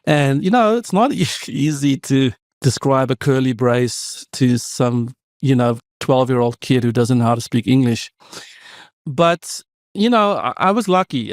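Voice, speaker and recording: A South African man in his fifties, with Afrikaans as his first language, telling his story as a podcast guest. He talks in a reflective, meandering way, full of asides, at a middling pitch. The sound is dry and close. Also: darkish tone, no room sound. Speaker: podcast guest, tech founder. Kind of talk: conversational interview storytelling.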